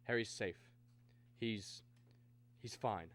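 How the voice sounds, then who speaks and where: A man playing an earnest, logical mathematics professor in a radio drama, speaking gravely and dramatically. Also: lowish voice, slow, a bit bright. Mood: deadly serious. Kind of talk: old-time radio drama acting and narration.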